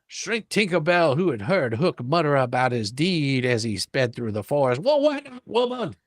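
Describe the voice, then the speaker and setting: A man's voice: mid-range voice, normal speed, no echo, clean studio sound. A man reading a storybook dramatically, with theatrical delivery and playful, folksy, ungrammatical embellishments. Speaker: reader, storyteller.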